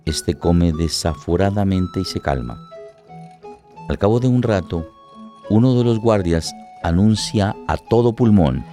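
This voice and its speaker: A man narrates a radio play from a script. His voice is low and dark, with soft music running underneath. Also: animated, measured pace. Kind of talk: dramatic scripted radio theatre narration in Spanish.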